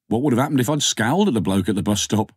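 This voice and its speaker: A man's voice: lowish voice, animated, racing, breathy edge, dry recording, clean studio sound. Narrator as a wry, smitten man. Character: wry, smitten, insistent male lover in an audiobook.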